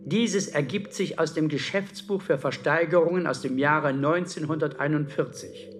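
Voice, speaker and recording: A man's voice: a little high, normal speed, soft background music, natural voice. A man playing a dry town clerk who reads an official letter precisely and formally in a documentary radio play. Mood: matter-of-fact. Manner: official, matter-of-fact, precise.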